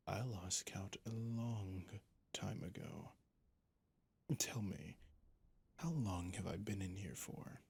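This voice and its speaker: Ancient vampire captor, male voice actor, ASMR audio drama. Very unhurried, creaky, whispery delivery; sadistic, mocking, theatrical. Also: on the low side, fairly bright tone, heavily acted. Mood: amused, languid. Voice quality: breathy, gravelly. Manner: slow, theatrical, condescending, often dropping to a whisper.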